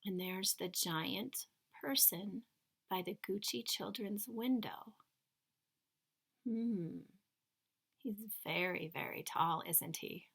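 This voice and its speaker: A woman reading a children's story aloud in an expressive, suspenseful way, stretching key words for emphasis and chatting to young listeners. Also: mid-range voice, slow, creaky, long pauses. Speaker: children's book read-aloud reader.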